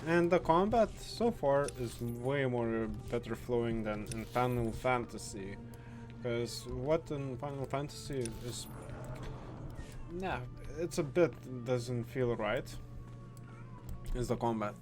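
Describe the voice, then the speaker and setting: A man doing casual gameplay commentary, halting and repeating words, with frequent swearing. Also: medium pitch, animated, over music. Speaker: gaming video host.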